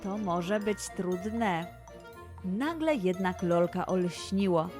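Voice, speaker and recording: A woman's voice: lowish voice, takes it slowly, over music. A woman narrating a scripted children's story expressively as the host.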